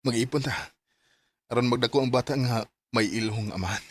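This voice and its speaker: An actor playing a devoted, gentle young suitor in a Bisaya radio drama, earnest and measured. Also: male voice, mid-range voice, fairly even intonation, no room sound, close to an everyday voice. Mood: earnest, tender. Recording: faint music behind the dialogue.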